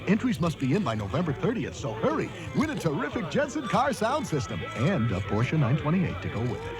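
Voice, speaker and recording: Lively, higher-pitched radio ad man. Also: a little fast, over music. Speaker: commercial pitchman. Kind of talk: staged radio ad interview and announcing. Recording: vintage radio commercial.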